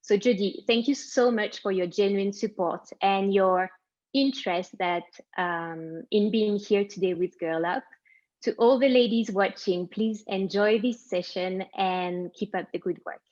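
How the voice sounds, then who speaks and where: A woman's voice: medium pitch, unhurried, darkish tone, dull audio. A woman executive giving formal welcome remarks to introduce an event.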